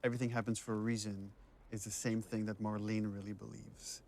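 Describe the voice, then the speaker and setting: A man with a fairly low voice gives director's commentary. He explains things casually and thoughtfully. Game audio and a little noise sit faintly behind him. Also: flat delivery, a little fast, gravelly, a little mellow. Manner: reflective, explanatory. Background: game cutscene audio.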